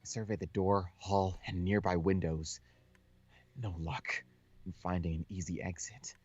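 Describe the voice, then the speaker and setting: A man's voice: deep voice, fairly even intonation, unhurried, darkish tone, husky, dull audio. A man reading visual novel text aloud as a co-host of a casual gaming session.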